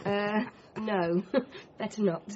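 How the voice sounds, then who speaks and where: A young woman playing a sensible, gently firm girlfriend in a radio comedy drama, caring and practical. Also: medium pitch, steady tone, slow pace, lo-fi sound. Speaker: doctor and girlfriend in the story.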